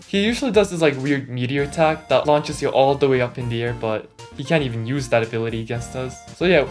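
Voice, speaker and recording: A man speaking through a voice changer, giving casual, slangy gameplay commentary with a mildly complaining manner. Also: mid-range voice. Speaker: gaming YouTuber. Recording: music mixed under the voice. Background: background music.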